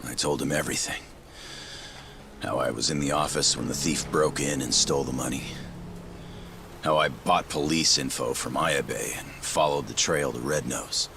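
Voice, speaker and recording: An actor playing a desperate, foul-mouthed, loyal young yakuza underling in a dramatic video game cutscene. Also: male voice, very deep voice, fairly even intonation, moderate pace, vocal fry, noisy background. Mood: shaken, confessing. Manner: swearing, stammering under pressure.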